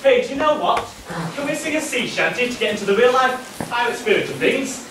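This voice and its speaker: A man's voice: very high voice, animated, brisk. A man playing a flirty, saucy nanny as a pantomime dame on stage, chatty and full of exclamations. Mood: jolly, rousing. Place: stage in an echoey hall, far microphone. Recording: echoey distant stage recording, noisy.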